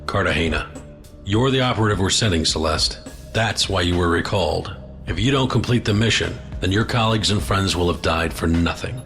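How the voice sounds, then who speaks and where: A man's voice: deep voice, a little fast, fairly bright tone, faint music behind. An actor playing a stern, commanding male intelligence chief in an audio drama, firm and insistent. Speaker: door chief.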